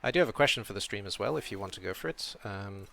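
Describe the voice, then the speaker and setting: A young British man from Wales with a slight European tilt to his accent, chatting casually while he streams a game, reading chat and thinking out loud. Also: lowish voice, expressive, fast talker, vocal fry. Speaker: streamer playing a video game. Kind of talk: casual live-stream commentary.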